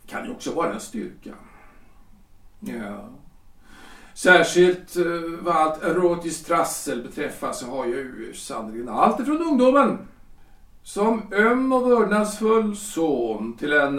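An actor playing a self-important, flustered lawyer-politician husband in his forties in a period audio drama, halting and repeating himself. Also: fairly high pitch, recorded from a distance. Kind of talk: period stage-play audio drama acting. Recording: roomy echo, off the mic.

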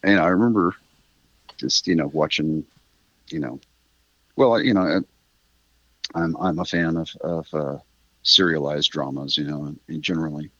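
A middle-aged man with a very low, bright-edged voice talks as a guest in a relaxed interview. He wanders through his thoughts, correcting himself along the way. The sound is close and dry, though slightly muffled. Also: unhurried, long pauses, dry recording. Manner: rambling, thoughtful, self-correcting. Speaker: interview guest, writer of a radio drama. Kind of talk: casual reflective interview chat.

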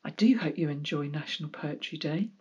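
A woman's voice: deep voice, a little fast, a little mellow, husky. A woman poet reading a descriptive literary poem aloud, recorded with muffled fidelity. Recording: dull, lo-fi sound.

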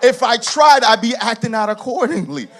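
A man with a strikingly high voice delivers a church sermon. He drives his points home with emphatic, stacked repetitions that rise to shouts, then loosens up with laughing, conversational asides. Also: normal speed. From Haitian heritage. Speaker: preacher.

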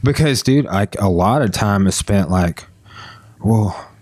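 A man's voice: on the low side, lively intonation, takes it slowly, a little mellow, no room sound. A man hosting a casual podcast, rambling with lots of "dude" and "like.". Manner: rambling, says dude and like a lot. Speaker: podcast host. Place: Arkansas.

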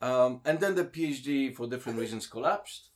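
A man from Poland talks as a guest in a relaxed interview. He is in his thirties, with a medium-pitched voice, and he talks hesitantly, full of ums and restarts. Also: fairly quick, a little hiss or hum. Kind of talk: casual interview.

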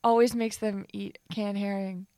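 A woman's voice: mid-range voice, flat delivery, a little slow, mellow, smooth, dry recording, studio quality. A young woman co-hosting a podcast in casual chat, bubbly and curious, reacting readily and asking questions. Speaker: podcast co-host.

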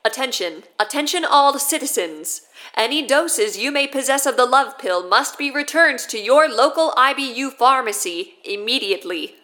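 High, bright female government announcer. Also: expressive, normal speed. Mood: urgent, official. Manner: formal, authoritative. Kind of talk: formal public announcement.

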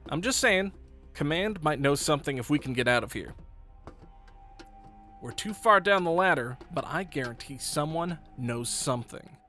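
A man playing a brisk, outspoken soldier, second-in-command of his squad, in a science fiction audio drama. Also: fairly high pitch, lively intonation, pushed and bright, soft background music. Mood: insistent. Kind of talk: sci-fi audio drama acting.

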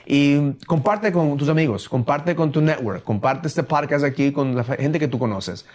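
A man's voice: on the high side, darkish tone. A man hosting a motivational business podcast, who slips phrases into his Spanish and stops to correct himself. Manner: mixes English phrases into Spanish; halting, self-correcting restarts. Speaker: podcast host. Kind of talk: casual motivational chat.

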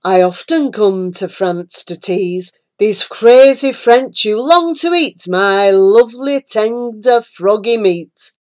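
A woman voicing a calm, self-assured male magic toad in a storybook reading, speaking coolly and lower than her narration. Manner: cool, unhurried, self-assured.

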